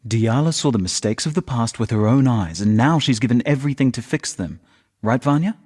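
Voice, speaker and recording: A devoted male ex-soldier from a fantasy video game. His voice is rough and husky and leaps around expressively. He comes across as blunt, defensive and quick to argue. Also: mid-range voice, expressive, darkish tone. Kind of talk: scripted video game dialogue.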